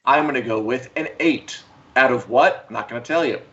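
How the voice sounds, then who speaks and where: A man's voice: medium pitch, normal speed, sharp tone, slight background noise. Chatty male co-host, dull lo-fi stream. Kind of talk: casual pop culture chat show. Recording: dull lo-fi livestream audio.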